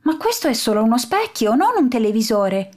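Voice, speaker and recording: A woman's voice: fairly high pitch, big pitch swings, fairly quick, darkish tone. Woman doing a protesting young girl.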